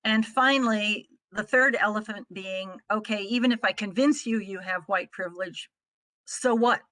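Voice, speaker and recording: A middle-aged American woman from the Midwest giving an explanatory presentation as a trainer, speaking in a measured, articulate way. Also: mid-range voice, fairly bright tone, no echo, rough recording. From Midwestern United States. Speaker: trainer presenting on a conference call.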